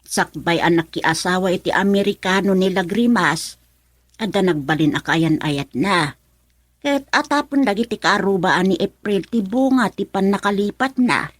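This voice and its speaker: A woman's voice: mid-range voice, lively intonation, normal speed, no echo, clean studio sound, lightly acted. An actress playing a gossipy older mother in a radio drama, with an Ilocano accent. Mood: chatty, gossipy.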